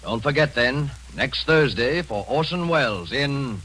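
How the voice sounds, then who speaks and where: A man announcing a radio drama in a measured, formal manner. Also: mid-range voice, expressive, pushed and bright, a little hiss or hum, poor audio. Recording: old radio broadcast with music.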